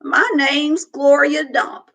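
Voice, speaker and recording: A woman voicing a kind, folksy old toothless woman who talks in a slow, drawling way. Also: fairly even intonation, creaky, fairly bright tone, heavily acted. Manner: slow drawl, high-pitched.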